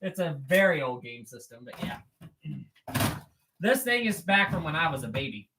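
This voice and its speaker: A man in his thirties streaming live, chatting casually with viewers and reading their comments, playful and teasing, laughing often and clearing his throat now and then. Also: fairly high pitch, very animated, moderate pace, noisy background. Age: 30s.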